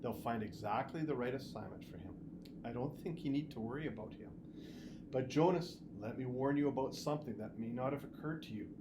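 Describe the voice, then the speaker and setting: A storybook reader playing a caring, practical mother who gives measured, earnest advice to her child. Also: medium pitch, noisy background, lightly acted. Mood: advising.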